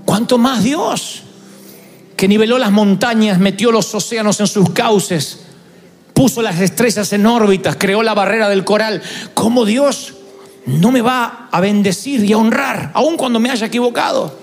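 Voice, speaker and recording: A man in his fifties preaching a fervent sermon as pastor, building up to shouts. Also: high voice, measured pace, soft background music. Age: 50s. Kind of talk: impassioned sermon.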